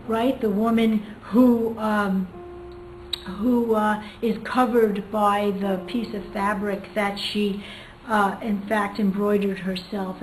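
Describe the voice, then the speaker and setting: A woman's voice: medium pitch, a little hiss or hum. Echoey woman teacher discussing literature. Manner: thoughtful, hesitant, pauses often to find words. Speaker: English teacher. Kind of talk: poetry reading and informal literary explanation. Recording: echoey room with music behind.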